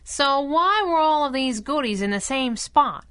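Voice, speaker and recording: A woman's voice: high voice, lively intonation, unhurried, sharp tone, exaggerated voice. A woman playing a plucky, slangy young costumed sidekick in a 1930s-style radio adventure, tossing out sassy wisecracks. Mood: wry, curious.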